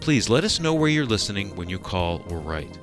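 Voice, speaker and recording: A man co-hosting a radio program, reading scripted announcements in a deliberate, clearly enunciated way. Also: lowish voice, big pitch swings, over music.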